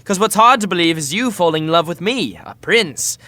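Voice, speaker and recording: A cocky young prince in an audio romcom. His casual voice swings widely in pitch and sits dry and close. He has a flippant, boyish confidence that easily turns halting. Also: animated, sharp tone, dry recording. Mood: teasing, cocky. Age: young adult. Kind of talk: audio drama romantic comedy acting.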